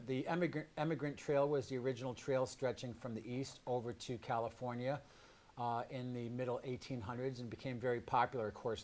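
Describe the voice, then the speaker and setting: A man hosting a live community radio and TV show, speaking in a halting way with measured pauses. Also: medium pitch.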